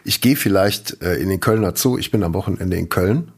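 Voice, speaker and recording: A man co-hosting a podcast in casual chat, a dry, deadpan storyteller who now and then breaks into shrill mock rants. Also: brisk, husky. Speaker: podcast co-host.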